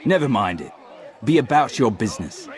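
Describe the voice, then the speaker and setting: An actor playing a shrewd, superior merchant lord in a video game, measured and condescending. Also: male voice, medium pitch, sing-song, some chatter behind, lightly acted. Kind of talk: video game cutscene acting.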